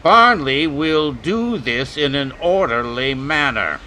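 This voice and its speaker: A man playing a cold, commanding major in a radio western, speaking formally and with measured authority. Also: fairly high pitch, lively intonation, pushed and bright, slight background noise, heavily acted. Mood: stern command. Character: cold, commanding major leading a lynch mob. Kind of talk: old-time radio drama acting.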